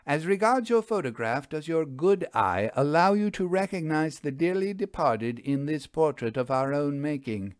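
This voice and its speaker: Man voicing a sharp, commanding woman investigator. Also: on the high side, lively intonation, normal speed, few pauses, clean tone, no echo, clean studio sound, voice pushed up, close to an everyday voice. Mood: coolly mocking. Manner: pointed, exacting, formal wording. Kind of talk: audiobook character dialogue.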